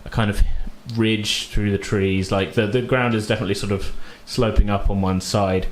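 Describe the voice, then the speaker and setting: A man acting as game master, narrating a fantasy tabletop game casually and haltingly as he searches for words. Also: fairly low pitch, fairly even intonation, slight background noise.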